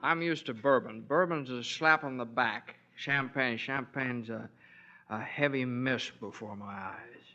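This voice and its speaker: An actor playing a wry young reporter who is tipsy on champagne in a 1940s radio play. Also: male voice, medium pitch, measured pace, pushed and bright. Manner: drawling, tipsy. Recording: dull old radio broadcast.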